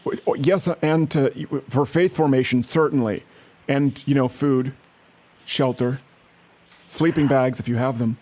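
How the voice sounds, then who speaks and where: A man's voice: mid-range voice, fairly even intonation, unhurried, a little mellow. A man making a prank phone call as an earnest-sounding aid worker, pushing persistently. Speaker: prank caller.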